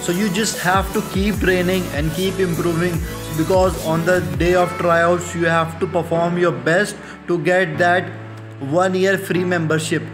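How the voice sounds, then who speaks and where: A young man, hosting an instructive voice-over that talks viewers through the steps. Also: high voice. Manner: instructive, addresses viewers as guys. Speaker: MMA channel host. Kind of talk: explainer voice-over. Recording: music bed under voice.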